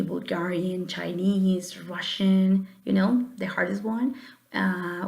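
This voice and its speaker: A young woman giving an earnest, encouraging speech, sometimes halting and restarting her sentences. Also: medium pitch, creaky, a little mellow. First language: Spanish. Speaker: student giving a class speech. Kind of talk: prepared personal speech.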